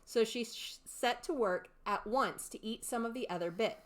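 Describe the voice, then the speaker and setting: A woman's voice: on the high side, normal speed. A woman reading a classic story aloud as scripted narration. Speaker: audiobook reader.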